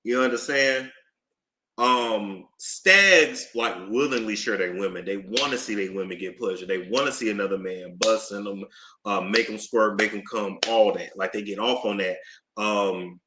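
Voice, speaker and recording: A young man streaming casual commentary while he reads court documents aloud, swinging between loud outbursts and low muttering. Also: moderate pace, noisy background. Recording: echoey room.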